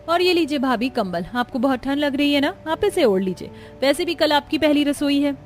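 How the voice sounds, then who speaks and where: Lady doing a perky young cartoon sister-in-law, friendly n chatty, high voice, music behind. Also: lively intonation, brisk. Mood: helpful. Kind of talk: Hindi cartoon story voicing.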